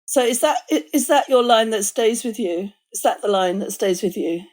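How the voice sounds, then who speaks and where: A woman hosting a podcast interview, asking questions in a measured, attentive way with halting phrasing. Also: fairly high pitch, lively intonation, darkish tone.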